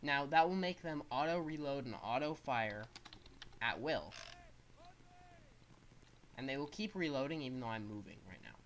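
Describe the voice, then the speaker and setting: A congested young man talks through his gameplay in a loose, wandering way, explaining each command as he gives it. His voice sits at an ordinary middle pitch, and a faint hiss of background noise runs under the recording. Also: animated, moderate pace, pauses often, a bit bright. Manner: rambling, explains controls as he plays. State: stuffed-up nose. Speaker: gaming streamer. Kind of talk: casual gameplay commentary.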